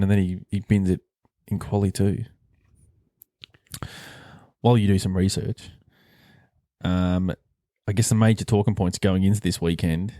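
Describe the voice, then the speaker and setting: A man's voice: deep voice, mellow, dry recording, studio quality. A man hosting a podcast with casual, rambling sports chat and bantering humour. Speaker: podcast host.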